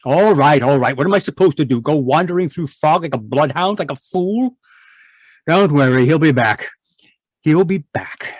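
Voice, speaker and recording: A man's voice: a little high, lively intonation, moderate pace, no room sound. A man playing an irritable, domineering middle-aged scientist husband in an old-time radio drama, contemptuous and blustering. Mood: exasperated. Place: indoors, live video call performance.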